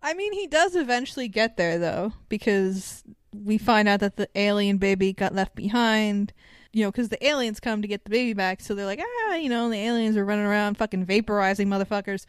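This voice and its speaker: A woman with a smooth, clear voice chats on a podcast in a close, dry recording. She recaps a film casually and irreverently, with sarcasm and plenty of swearing. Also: mid-range voice, animated, brisk, no room sound. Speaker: podcast co-host.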